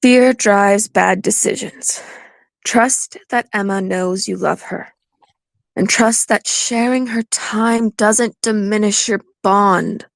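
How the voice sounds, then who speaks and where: A woman playing a composed, pragmatic divorce attorney in an audio play, speaking in a measured, firm and reassuring way. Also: mid-range voice, fairly even intonation, gravelly, no echo. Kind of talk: community theatre audio play acting.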